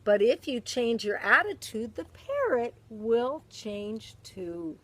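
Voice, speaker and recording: A woman voicing a wise, kindly pirate queen who speaks gently. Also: on the high side, very animated, takes it slowly, exaggerated voice. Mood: gentle.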